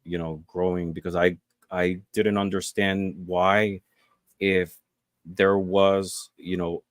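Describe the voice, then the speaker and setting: Man, 40s, earnest guest chat, lots of fillers, low voice, dry sound. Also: level tone, takes it slowly, dry recording. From Puerto Rico. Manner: earnest, many fillers and restarts. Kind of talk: casual interview chat.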